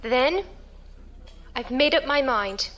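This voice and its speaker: A woman's voice: high voice, lively intonation, takes it slowly, a bit bright, exaggerated voice. An actress playing an earnest, tender-hearted female passenger among space pirates in a science fiction audio drama. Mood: earnest, determined.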